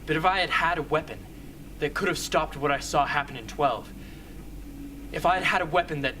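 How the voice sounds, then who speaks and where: A man's voice: on the high side, fairly quick, close to an everyday voice. A man acting in an amateur radio drama as an earnest, stubborn young rebel hunter. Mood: defensive, intense. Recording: faint background noise.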